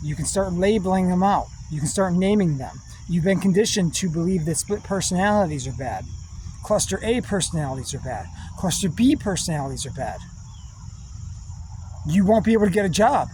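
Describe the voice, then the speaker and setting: A man giving a rambling spiritual monologue in slow, drawn-out, meditative phrases with long pauses. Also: sits high, lively intonation, a little mellow, slight background noise, rough recording. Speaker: spiritual speaker.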